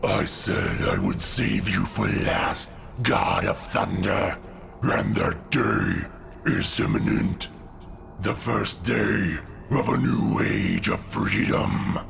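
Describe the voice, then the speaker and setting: A man voicing a coldly menacing, sardonic alien villain who kills gods in a comic-book drama, savouring his triumph in a gravelly voice. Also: fairly low pitch, slow pace, a bit bright, a little hiss or hum, heavily acted. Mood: gloating, solemn.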